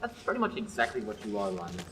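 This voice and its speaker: A man's voice: on the low side, quick pace, far from the mic, a little hiss or hum. A young man playing a sarcastic young prince. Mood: sardonic. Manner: deadpan, sarcastic. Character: sardonic young prince, dry and superior. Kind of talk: student radio play acting.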